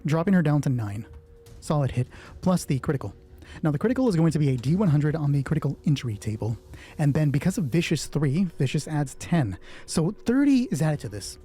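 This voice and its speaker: Male solo game master, husky and slightly high-pitched, casual sweary narration of dice rolls and action, music underneath. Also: big pitch swings, a little fast, warm tone. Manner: casual, swearing freely, thinking aloud. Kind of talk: narrating solo tabletop roleplay, reading out dice results.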